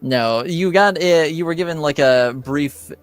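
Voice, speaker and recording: A man's voice: fairly high pitch, measured pace, clear, resonant tone. A man acting as game master, narrating a tabletop roleplaying game in an informal, improvised way.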